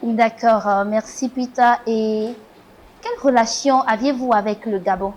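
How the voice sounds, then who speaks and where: A woman's voice: a little high, fairly even intonation, measured pace, darkish tone, dull audio. A woman hosting a talk show, asking questions with frequent hesitant fillers. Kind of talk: interview questions.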